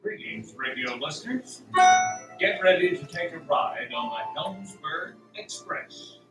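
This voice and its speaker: A man's voice: fairly high pitch, lively intonation. Roomy-sounding male radio-play narrator over music. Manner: theatrical, deliberate, suspenseful. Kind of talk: scripted dramatic storytelling narration. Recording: roomy, distant, music behind.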